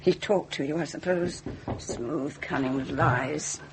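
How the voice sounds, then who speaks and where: A woman's voice: sits low, slow pace, noisy background. An actress playing a middle-aged, bitter, grieving mother in a radio drama, intense and insistent. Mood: contemptuous. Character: bitter, grieving, accusing mother of a dead bride. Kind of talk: British radio drama acting.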